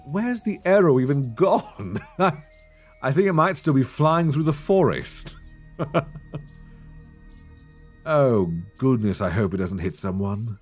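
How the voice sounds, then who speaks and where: Man as a male elf hunter, breathy, very lively intonation, good-humored, gently teasing, ASMR fantasy roleplay, music behind. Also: mid-range voice, takes it slowly, long pauses. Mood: amused teasing. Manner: playful, gently instructing, chuckling often. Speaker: voice actor in audio roleplay. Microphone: close binaural microphone.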